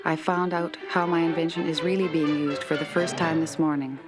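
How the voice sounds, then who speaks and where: An actor playing a remorseful male inventor making a grave confession in an animated film. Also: fairly high pitch, a little fast, over music, close to an everyday voice. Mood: grim, resigned. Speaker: scientist. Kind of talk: cartoon voice acting.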